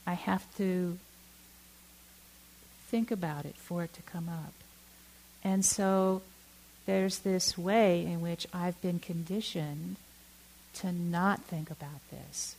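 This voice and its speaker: A middle-aged woman from Oakland giving a reflective talk, halting and candid, with many fillers and self-corrections. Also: fairly low pitch, darkish tone, rough recording. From Oakland, California. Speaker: dharma talk speaker.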